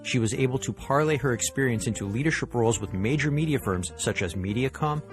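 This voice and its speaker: A man's voice: mid-range voice, fast, over music. A man hosting an interview podcast, delivering introductions and questions to a guest.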